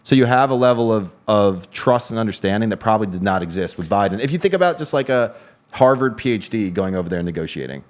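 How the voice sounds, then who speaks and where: A man talking politics at a rapid clip, his voice sitting fairly low. He rambles, restarts his sentences and circles back as he explains. It is a relaxed podcast conversation between co-hosts. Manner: fast, rambling, frequent restarts. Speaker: co-host. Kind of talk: casual political discussion.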